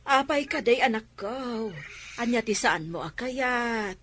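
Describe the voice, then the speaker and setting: An actress playing a patient, soothing mother in a radio drama, gently coaxing with a Filipino Ilocano accent. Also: a little high, expressive, takes it slowly. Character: patient, soothing mother counseling her grown child.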